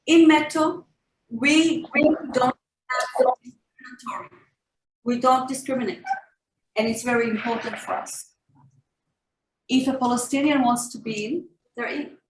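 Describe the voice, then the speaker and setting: A woman's voice: sits high, measured pace, long pauses. Emphatic Israeli-born woman panelist, echoey room. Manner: emphatic, insistent. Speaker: panelist, activist. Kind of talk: workshop Q&A answers. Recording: echoey room, faint noise.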